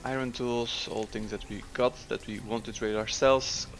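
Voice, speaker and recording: A man's voice: mid-range voice, steady tone, slight background noise. Man musing through gameplay. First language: Dutch. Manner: thinking aloud, unhurried, frequent fillers. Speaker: gameplay commentator. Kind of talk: casual let's-play commentary.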